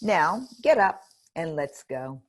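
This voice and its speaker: A woman reading aloud as a loving mother who gently reassures her daughter. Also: low-pitched, big pitch swings, slow pace, exaggerated voice. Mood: tender.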